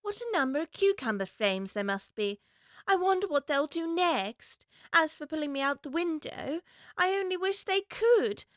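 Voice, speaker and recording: A woman playing young girl, curious, prim, talks to herself, high pitch, bright tone. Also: expressive, moderate pace, no room sound, studio quality. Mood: musing. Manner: musing aloud to herself, prim and wondering.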